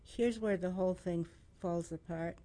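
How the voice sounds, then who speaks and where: A woman's voice: fairly low pitch, steady tone, moderate pace, mellow. A woman speaking as a guest on a radio interview, a former community board member who tells her stories emphatically and laughs often.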